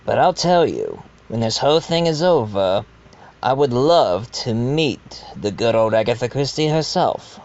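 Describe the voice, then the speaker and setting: A wry, chatty ghost of a murdered gentleman acted by a man narrates his own whodunit in an audio drama. The voice is medium in pitch, and the recording is dull and muffled. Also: very animated, measured pace.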